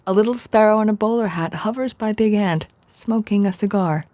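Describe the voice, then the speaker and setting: A woman's voice: medium pitch, brisk, dark tone, slight background noise, lightly acted. A woman narrating an audio drama as a wry, descriptive storyteller.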